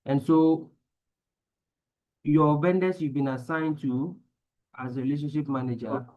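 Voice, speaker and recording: Male webinar moderator, measured, dull call audio. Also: a little high, fairly even intonation. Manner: measured, urging participants to ask questions. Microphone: video call.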